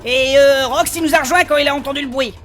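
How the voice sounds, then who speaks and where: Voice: high voice, steady tone, fast, a bit bright. An actor playing a small, naive, cheeky adventurer in a comic fantasy audio drama, speaking casually. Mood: evasive.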